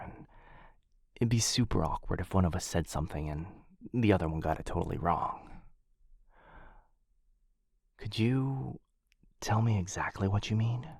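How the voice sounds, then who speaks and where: A man voicing a shy, affectionate dog-person boyfriend in an ASMR roleplay, speaking softly and hesitantly, often close to a whisper, right up on the microphone. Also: sits low, dry recording, exaggerated voice. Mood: nervous, hesitant. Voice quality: soft, breathy, often dropping into whisper. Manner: hesitant, tender, intimate. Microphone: close microphone in a dry space.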